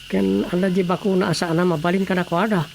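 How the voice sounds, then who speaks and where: An actor as a sensible village man in a Filipino radio drama. His voice is gravelly yet slightly high, with a Filipino accent and faint noise behind. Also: little pitch movement, fast talker, darkish tone. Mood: reasoning. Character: sensible village man reasoning with worried relatives.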